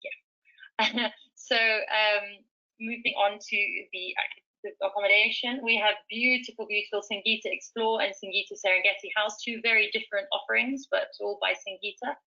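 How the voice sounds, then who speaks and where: A woman with a bright, forceful voice gives an enthusiastic webinar-style presentation, pausing on fillers and restarting phrases. The audio is somewhat dull and lo-fi. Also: mid-range voice, steady tone. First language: speaks fluent Swahili. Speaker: safari travel presenter.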